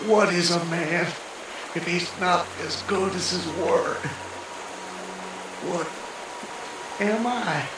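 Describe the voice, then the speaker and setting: An actor playing an anguished condemned husband in a theatrical old-time radio monologue, halting, despairing and melodramatic. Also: male voice, sits high. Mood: grieving. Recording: echoey, distant, heavy rain and thunder noise.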